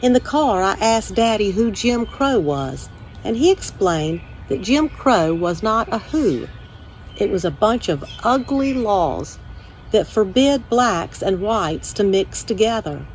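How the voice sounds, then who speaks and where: A woman's voice: medium pitch, expressive, slow pace, slight background noise, low-quality recording. A woman reading a children's storybook aloud as a first-person narration. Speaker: story time reader.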